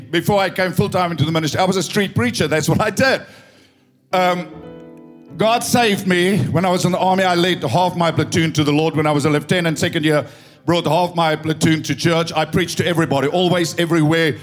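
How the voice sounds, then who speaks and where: High-voiced South African pastor, tired voice. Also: male voice, a little fast, faint music behind. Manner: emphatic, storytelling, swelling to loud proclamation. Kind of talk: impassioned sermon mixing English and Afrikaans. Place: church.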